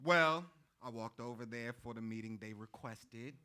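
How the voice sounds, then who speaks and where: A man acting a brash, excitable young artist boyfriend in a staged play reading, with an American accent and slangy, emphatic delivery. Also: medium pitch, normal speed, clean tone, natural voice. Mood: boastful storytelling.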